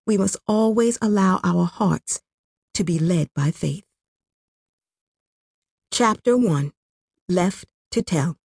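A woman's voice: on the low side, slow pace, vocal fry, long pauses, darkish tone, slightly rough, no echo, studio quality. A woman from Rwanda reading her own memoir as scripted audiobook narration.

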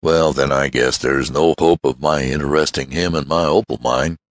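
A man's voice: rumbling low, steady tone, normal speed, no echo, studio quality. A man voicing a hopeful, persistent male fortune hunter with an earnest, persuasive manner. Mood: disappointed.

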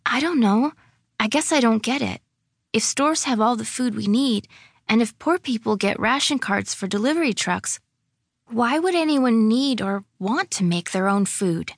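A woman's voice: on the high side, animated, normal speed, no echo, clean studio sound, pitched above the performer's own voice. A woman reading a storybook, voicing a skeptical young girl with a blunt, childlike manner. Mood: doubtful. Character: skeptical young girl, outspoken best friend.

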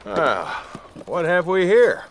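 An actor playing a bulky, cautious small-town marshal in a western audio drama, with a folksy, hesitant, official manner. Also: male voice, high-pitched, very animated, takes it slowly, creaky, slight background noise, exaggerated voice. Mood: wary, official.

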